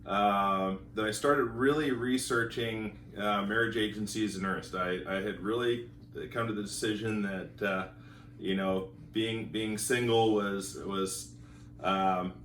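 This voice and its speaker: Deep-voiced man, halting and roomy. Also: a bit bright, a little hiss or hum. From Montana, United States. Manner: halting, many fillers and repeated words. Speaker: school teacher sharing his marriage story. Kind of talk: casual testimonial. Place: home in California. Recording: roomy echo, voice from a distance.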